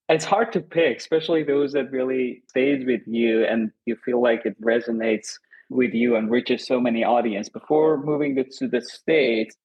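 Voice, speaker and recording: A man's voice: mid-range voice, breathy edge, lo-fi sound. A man in his thirties from Iran, with an Iranian accent, speaking in a podcast interview in an earnest, thoughtful way, often repeating words for emphasis and laughing easily. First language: Azerbaijani Turkish, Kurdish and Farsi. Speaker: lighting designer, guest.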